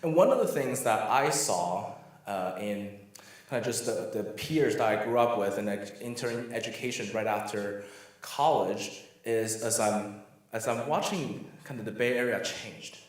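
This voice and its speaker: A middle-aged man from Taiwan speaks informally as a workshop presenter. His voice sits fairly low. The sound is heavily reverberant, as in a big church room. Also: unhurried. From Taiwan, moved to the US as a child. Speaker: guest speaker at a parent workshop.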